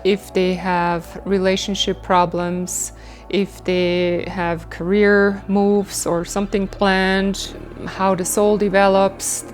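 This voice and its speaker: A woman from Switzerland, a medium calmly and steadily explaining her work in a documentary interview. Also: medium pitch, faint music behind. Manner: calm, explanatory, measured. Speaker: automatic writing medium.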